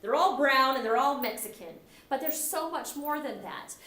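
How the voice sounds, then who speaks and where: A woman's voice: sits high, animated, normal speed, a bit bright. A middle-aged woman from the United States teaching a class in a conversational way, often acting out the remarks she quotes. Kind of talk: recorded classroom lecture. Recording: echoey room.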